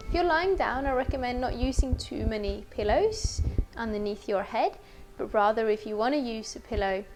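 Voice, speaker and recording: Lady doing a guided meditation, kinda high voice, gentle n slow chatty delivery, bit of music in the background. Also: lively intonation, low-quality recording. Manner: gentle, unhurried, conversational. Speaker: meditation and yoga teacher.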